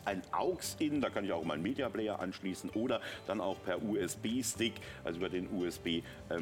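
A middle-aged man presenting enthusiastically on a TV shopping show, explaining products as he sells them. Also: lowish voice. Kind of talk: live teleshopping product pitch. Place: TV shopping studio. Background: music.

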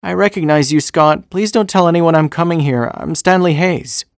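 Guy doing a shy sad little kid for a story, higher voice, timid n earnest, kinda pleading. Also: moderate pace, gravelly, clean tone, dry recording, studio quality. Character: shy, hurt six-year-old schoolboy. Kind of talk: storybook character voice.

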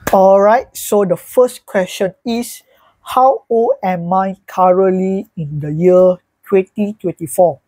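Guy in his early 20s vlogging from his bedroom, husky voice, dry close sound, keeps saying so yeah. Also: high voice, slow pace, a little mellow, dry recording, low-quality recording. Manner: chatty, loose, repeats "so yeah" and "alright, guys". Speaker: YouTuber. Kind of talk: casual vlog talk to camera. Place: small bedroom with a fan, indoors, seated.

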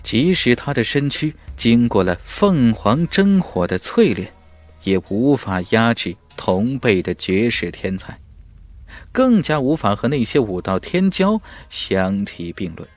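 A man narrating an audiobook in a dramatic, emphatic storytelling style with measured phrasing. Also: mid-range voice, sing-song, soft background music.

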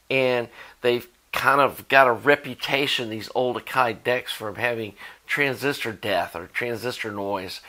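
A man's voice: mid-range voice, a bit bright. Male, middle-aged, American accent. Informal, conversational hands-on repair host, talking to camera. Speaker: host of an electronics repair channel. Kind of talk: casual explanatory talk to camera. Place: indoor workshop at a workbench.

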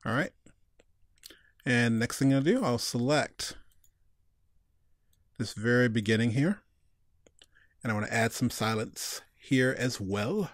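A man's voice: mid-range voice, expressive, slow pace, pauses often, dry recording, studio quality. A man giving a casual screencast tutorial, informally talking through each step and thinking aloud. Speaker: tutorial instructor.

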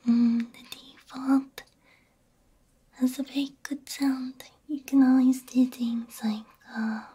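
Woman, airy whisper, very breathy, unhurried, gentle ASMR roleplay, close binaural mic. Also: high-pitched, fairly even intonation. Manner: gentle, soothing, unhurried, soft hums between phrases. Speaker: ASMR VTuber playing a robot repair technician. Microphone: close binaural ASMR microphone.

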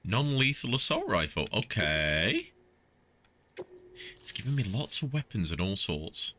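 A man's voice: on the low side, very animated, unhurried, bright, forceful tone, slight background noise. A middle-aged man giving casual, playful, mock-indignant commentary as he livestreams a video game. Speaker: livestreaming gamer. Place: small indoor streaming setup, wearing headset. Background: game music and vehicle sounds.

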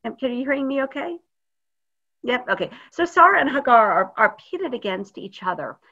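A woman's voice: high voice, lively intonation, normal speed, sharp tone, dull audio. A woman rabbi giving a thoughtful, informal teaching talk over a video call. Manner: thoughtful, searching for words. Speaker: rabbi, guest speaker.

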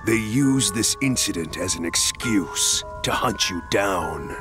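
Deep, slow, sinister demon villain. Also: male voice, lively intonation, over music. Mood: sinister, coaxing. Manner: slow, menacing, persuasive. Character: sinister calm dark male hedgehog demon villain. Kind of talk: dramatic video game cutscene acting.

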